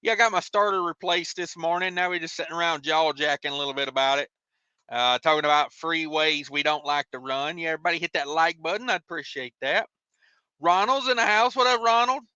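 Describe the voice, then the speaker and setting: High, bright-voiced folksy American man. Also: fairly quick, smooth, no room sound, muffled recording. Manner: folksy, rambling, colloquial speech. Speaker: livestream host, truck driver. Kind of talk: casual live chat, reading out viewer comments and replying.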